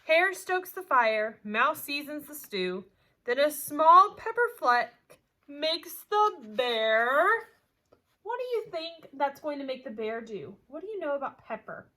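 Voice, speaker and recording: A woman's voice: high-pitched, slow pace. A woman teaching young children, reading a picture book expressively and talking to them with questions. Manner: asks listeners questions, animated storytelling delivery.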